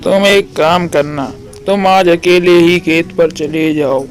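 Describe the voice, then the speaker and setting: A man voicing a sly, envious farmhand in a cartoon moral story, with a measured, scheming manner. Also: on the high side, fairly even intonation, soft background music, poor audio. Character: sly, envious male farmhand plotting against his workmate.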